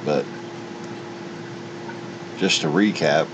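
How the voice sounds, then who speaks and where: Low-voiced man rambling over loud rumble. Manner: halting, digressive, opinionated. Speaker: solo commentator. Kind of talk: unscripted rambling monologue. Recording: muffled, with loud steady rumble.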